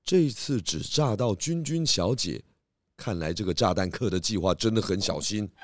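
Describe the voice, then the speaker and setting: A man playing a calm, deliberate, dryly sentimental pig detective in a children's audio mystery drama. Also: fairly low pitch. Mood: pondering. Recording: music behind the voice.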